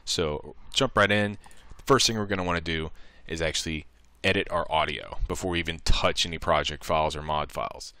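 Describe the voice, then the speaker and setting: A man presenting a casual software tutorial, talking informally through each step and thinking aloud. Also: extremely low pitch, fairly quick, few pauses, clear, resonant tone, no room sound.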